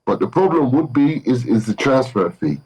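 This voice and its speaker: A man's voice: mid-range voice, very animated, moderate pace, darkish tone, slightly rough, low-quality recording. A man from Turkey with a London accent, a football manager speaking as a guest, thinking aloud with many fillers and restarts. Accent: London English. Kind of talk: remote interview.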